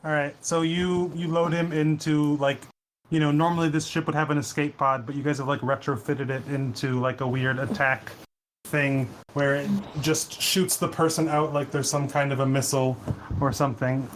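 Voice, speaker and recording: Casual game master narrating. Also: male voice, on the high side, fairly even intonation, moderate pace, slight background noise, poor audio.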